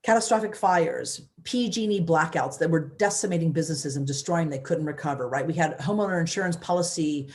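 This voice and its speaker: A woman in her fifties from the United States, a former mayor, telling her story in a loud, energetic way with many restarts. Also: low voice, brisk, few pauses. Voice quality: loud voice, big personality. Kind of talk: casual interview storytelling.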